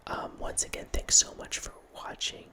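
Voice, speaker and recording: A man in his thirties whispering a rambling ASMR talk as the host of his channel, often restarting with soft laughs, close to a studio mic in a small room at home. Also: moderate pace. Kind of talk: whispered ASMR ramble about a horror movie. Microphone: large studio mic close in front, with headphones.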